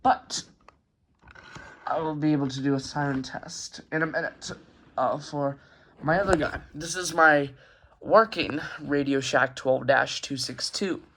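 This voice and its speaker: A man's voice: fairly high pitch, unhurried, fairly bright tone. A man hosting a casual, unscripted show-and-tell review at home, talking in a hesitant, rambling way into a handheld camera. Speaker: hobbyist video host. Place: carpeted room at home.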